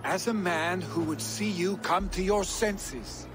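An actor playing a passionate, headstrong young Viking in a video game, forceful and pleading. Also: male voice, sits high, slow pace, music in the background. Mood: urgent, indignant. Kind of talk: video game dramatic acting.

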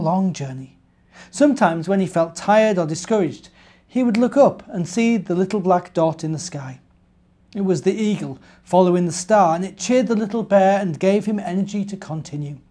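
A man's voice: high-pitched, mellow. Emphatic British man reading a storybook. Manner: emphatic, projected, measured phrases. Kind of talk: expressive picture-book narration for children.

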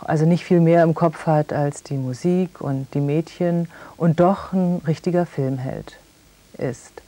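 A middle-aged woman conducting a measured television interview in a studio. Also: sits low, warm tone.

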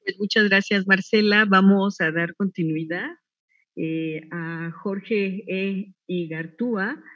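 A man moderating a book panel, giving brief formal introductions. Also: sits high. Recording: distant muffled room audio.

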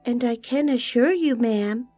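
A woman's voice: fairly high pitch, a little slow, mellow, faint music behind, pitched above the performer's own voice. Woman doing a tiny, meek wren. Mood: humble. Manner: meek and pleading. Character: small, timid, humble female wren.

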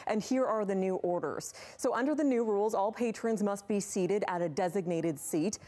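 Brief: woman TV reporter, clear and brisk, mid-pitch, live studio hit. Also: warm tone.

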